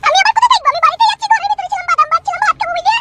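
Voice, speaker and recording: A woman acts out a nagging cartoon mother with a rural West Bengal accent. She argues back indignantly in a piercingly high, racing voice, over loud music. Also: very fast. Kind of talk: comic cartoon dub.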